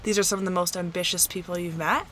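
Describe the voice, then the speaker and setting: A woman's voice: fairly low pitch, steady tone, fast talker, fairly bright tone, slight background noise. Gushing young-sounding woman host. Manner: effusive, gushing, many "like" fillers. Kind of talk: casual interview chat.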